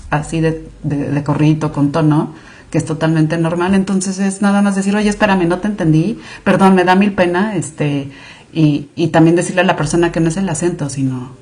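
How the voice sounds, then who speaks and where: A woman's voice: lowish voice, fairly even intonation, a little fast, darkish tone. A Mexican woman speaking as a podcast guest, chatting casually and animatedly with frequent fillers. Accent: Mexican Spanish. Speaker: podcast guest, clinical monitoring director. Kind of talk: casual conversational interview.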